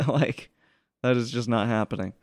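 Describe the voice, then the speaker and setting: A man chatting casually as a podcast co-host, grumbling in a halting, informal way. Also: mid-range voice, a little mellow, no room sound, clean studio sound. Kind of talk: casual chat.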